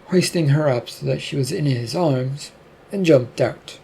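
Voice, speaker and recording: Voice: medium pitch, measured pace, creaky, dark tone, slight background noise. A narrator reading a scripted fiction story aloud, telling the action between lines of character dialogue.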